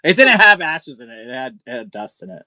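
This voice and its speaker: A woman's voice: sits low, big pitch swings, a little fast, pushed and bright, no room sound. A middle-aged woman co-hosting a casual livestream, rambling and often interrupting herself as she talks. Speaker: co-host of a vintage seller livestream. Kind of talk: casual unscripted livestream chat.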